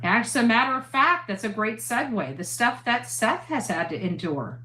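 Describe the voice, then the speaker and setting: A woman's voice: on the high side, expressive, moderate pace, sharp tone, a little hiss or hum. A woman speaking in a casual vlog-style monologue as a livestreamer. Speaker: livestreamer heard in played clips.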